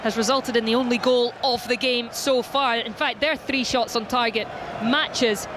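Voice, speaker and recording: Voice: medium pitch, steady tone, normal speed. A football commentator describing live match play in a radio sports commentary style, with outdoor stadium ambience behind. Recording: clear ambient noise behind.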